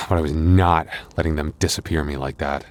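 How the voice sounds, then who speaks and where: A man playing a wounded, tender and protective werewolf boyfriend in an intimate ASMR roleplay, speaking in a halting, softly earnest, weary way. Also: a bass voice, fairly even intonation. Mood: tense, recounting. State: weary, hurting.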